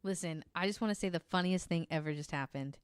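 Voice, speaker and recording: A woman's voice: fairly low pitch, quick pace, clear, resonant tone, no room sound. A woman co-hosting a podcast, telling true-crime stories in a casual, amused, informal and sweary way. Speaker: podcast co-host.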